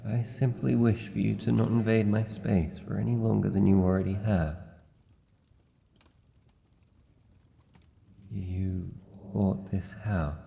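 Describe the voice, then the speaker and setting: Grumpy yet vulnerable living shadow played by a man, Australian, dark-toned and guarded, muffled reverberant recording, fantasy audio roleplay. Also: on the low side, gravelly. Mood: wary, menacing. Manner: formal, halting, guarded speech. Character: wary, lonely living shadow monster, grumpy but vulnerable. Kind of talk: fantasy ASMR roleplay, slow deliberate delivery. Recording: muffled, long cavernous reverb, faint noise.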